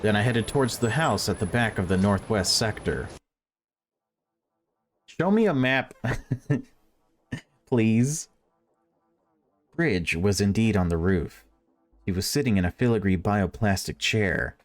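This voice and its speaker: Low-voiced man reading a game aloud. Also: expressive, takes it slowly, long pauses. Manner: wry, joking commentary between read passages. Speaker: video game let's player reading the game aloud. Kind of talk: expressive read-aloud narration with casual asides.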